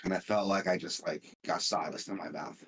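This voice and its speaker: Husky, groggy man on a podcast. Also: a little fast. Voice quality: low groggy voice with a flat, tired-sounding tone. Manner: rambling, full of "like" fillers and swearing. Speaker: podcast co-host. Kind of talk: casual chat.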